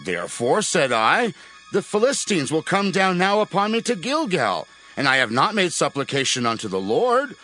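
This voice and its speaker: An actor playing a proud, insistent warrior king, declaiming forcefully in a dramatized scripture recording. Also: a little high, very animated, moderate pace, poor audio. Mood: defensive, urgent. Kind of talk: dramatized scripture audio acting. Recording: music behind the voice.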